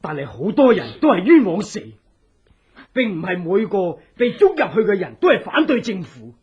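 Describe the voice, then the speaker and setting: Husky, high-voiced male revolutionary. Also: big pitch swings, moderate pace, heavily acted. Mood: indignant. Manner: urgent, earnest, theatrical persuasion. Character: earnest, secretive revolutionary, escaped political prisoner. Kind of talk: Cantonese radio drama acting. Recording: dull, lo-fi old radio drama.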